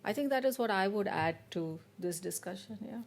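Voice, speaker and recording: A woman on a seminar panel speaks in a measured, reflective way. She moved to Finland from abroad and thinks aloud as she makes her point. Her voice sits at an ordinary middle pitch. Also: animated, creaky. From abroad, living in Finland. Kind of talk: panel discussion remarks.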